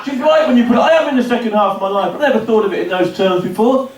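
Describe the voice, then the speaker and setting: A man's voice: high-pitched, lively intonation, very fast, a faint crowd. A man doing a stand-up comedy set in a club, telling dry, self-deprecating stories with punchy asides. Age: 50s. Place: comedy club stage before a laughing audience. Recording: roomy live venue recording from a distance.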